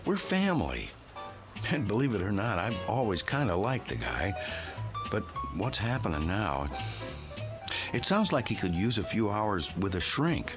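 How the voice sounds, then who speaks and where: A blunt, protective brother in an old TV drama. His voice is very low, with lively intonation and a practical, matter-of-fact manner. Music is clearly heard behind him. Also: measured pace, full character voice. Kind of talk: vintage television drama acting.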